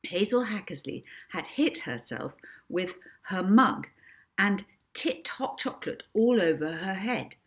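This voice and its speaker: A woman's voice: medium pitch, big pitch swings, a little slow. A woman author narrating her own children's book, with casual asides to the listener.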